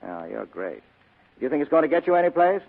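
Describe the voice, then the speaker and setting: Gravelly, tough American private eye. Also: male voice, on the high side, sing-song, quick pace, exaggerated voice. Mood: mocking, skeptical. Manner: blunt, sardonic, commanding. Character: tough, cynical male private detective. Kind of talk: 1940s radio drama acting. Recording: tinny muffled 1940s radio broadcast.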